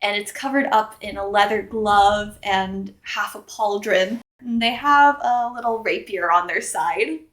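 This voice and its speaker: A woman's voice: medium pitch, a little slow, hardly any pauses, a bit bright. A woman playing a tabletop role-playing game, improvising and narrating casually in a playful, teasing way.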